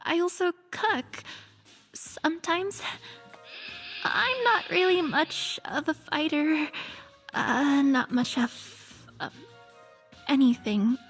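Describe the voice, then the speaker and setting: An actress playing a shy, unsure young healer in a video game, hesitant and self-deprecating. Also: female voice, sits high, expressive, slow pace, pauses often, a bit bright, exaggerated voice. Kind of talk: video game quest dialogue. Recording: faint background music.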